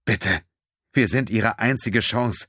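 A man's voice: lowish voice, slow, sharp tone, slightly rough, dry recording, clean studio sound, pitched above the performer's own voice, exaggerated voice. A man voicing a desperate, injured male father who pleads urgently for help. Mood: pleading.

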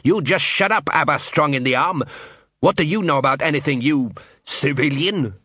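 Man doing a feisty shouting dwarf, raised pitch. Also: moderate pace, husky, no room sound. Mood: indignant, furious. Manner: shouting, irascible, snappish. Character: short, feisty, exasperated male dwarf constable. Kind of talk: audiobook character voice.

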